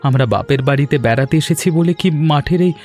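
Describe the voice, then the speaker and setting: A man plays a hardworking young Bengali villager in an animated family tale. He speaks earnestly and politely to his elders, with insistence, at a medium pitch. Soft music runs beneath his lines. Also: fast talker, lightly acted. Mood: eager, insistent. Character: eager, respectful young village son-in-law, hardworking. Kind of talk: animated folk story dialogue. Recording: music bed under the voice.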